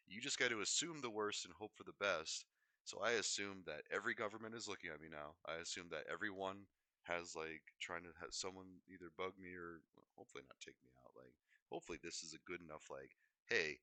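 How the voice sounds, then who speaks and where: A man's voice: sits low, pushed and bright, no echo. A man livestreaming in a rambling, unscripted way, often hesitating, digressing and correcting himself mid-thought. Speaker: livestream host.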